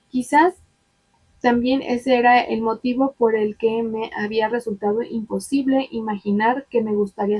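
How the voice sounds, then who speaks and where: A woman reading a novel aloud in an expressive way, sometimes stumbling and restarting words, with chatty asides to her viewers. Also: on the high side, husky, poor audio.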